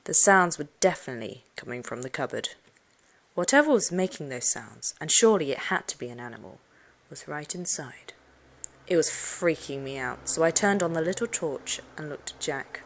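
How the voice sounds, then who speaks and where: A woman reading a children's suspense story aloud, expressively, with dramatic hushed moments. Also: low-pitched, lively intonation, moderate pace. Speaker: audiobook narrator. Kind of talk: dramatic first-person storybook narration for children.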